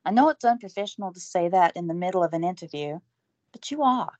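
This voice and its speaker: A woman's voice: on the low side, animated, quick pace, darkish tone, no room sound, natural voice. An actress playing an earnest young woman reporter who is also an army medic, asking questions. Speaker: interviewer. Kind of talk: audio drama acting. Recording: dull lo-fi recording.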